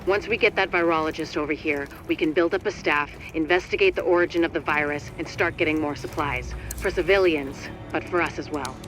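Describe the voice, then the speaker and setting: A man's voice: a little high, a little fast, few pauses, music in the background, lightly acted, rough recording. A man playing a blunt, plain-spoken agent rallying a base in a video game cutscene. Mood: blunt, urgent. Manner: plain-spoken, matter-of-fact, profane.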